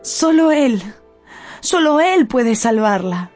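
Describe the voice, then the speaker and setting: A woman's voice: high-pitched, very animated, takes it slowly, darkish tone, soft background music. A woman narrating a radio drama from a script in a theatrical, emotive storytelling manner.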